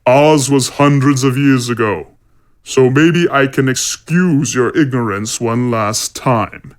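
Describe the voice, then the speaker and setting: Man playing a slow, stern fantasy zealot. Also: mid-range voice, lightly acted. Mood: coldly threatening. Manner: measured, deliberate, formal. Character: stern male zealot warrior, menacing, self-righteous older brother. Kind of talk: audio fantasy drama acting.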